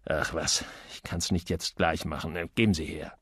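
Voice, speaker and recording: A man's voice: very deep voice, steady tone, normal speed, fairly bright tone, husky, no room sound, studio quality, pitched below the performer's own voice, exaggerated voice. A man voicing a grumpy, cynical advertising copywriter in an audiobook, curt, bossy and emphatic. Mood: exasperated.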